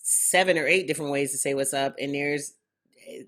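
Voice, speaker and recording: A woman's voice: low-pitched, fairly quick, fairly bright tone. A young woman, Haitian American, co-hosting a podcast in casual, joking chat. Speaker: podcast co-host.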